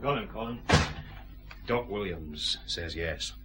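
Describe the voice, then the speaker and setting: Very deep, gruff detective. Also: male voice, expressive, slow, bright, forceful tone, heavily acted. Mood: laconic. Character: gruff, terse male detective inspector sidekick. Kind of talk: radio detective drama acting.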